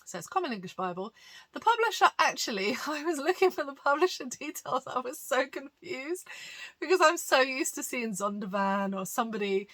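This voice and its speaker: A woman's voice: sits high, very animated, moderate pace, fairly bright tone. A woman pastor talking through a topic in a chatty, explanatory way, with frequent "um"s and light laughs. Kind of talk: conversational teaching monologue.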